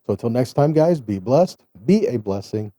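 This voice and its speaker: A man with a very mellow, relaxed voice of middling pitch teaches scripture. He sounds earnest and exhorting, in an informal sermon style with frequent rhetorical questions. The recording is dry, with little room sound. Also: normal speed, no echo. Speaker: Bible teacher, host of online Torah studies.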